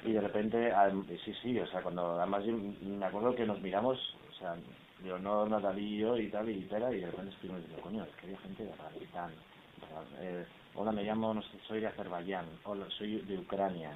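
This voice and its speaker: A man's voice: on the low side, steady tone, brisk. A male actor talking casually and colloquially at a press conference, rambling with tags and quoted asides. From Iberian Peninsula. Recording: muffled, hissy phone-like audio.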